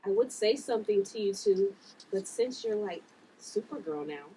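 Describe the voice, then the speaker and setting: A woman's voice: mid-range voice, lively intonation, normal speed, mellow, lightly acted. A woman reading an anxious, bewildered sister at a drama table read. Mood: unsure. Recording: distant mic, faint background noise.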